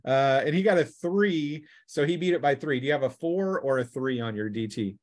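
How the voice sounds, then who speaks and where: A man's voice: medium pitch, no room sound, studio quality. Casual man running a tabletop game. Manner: casual, halting narration mixed with rules talk. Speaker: game master. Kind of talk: narrating a tabletop role-playing game.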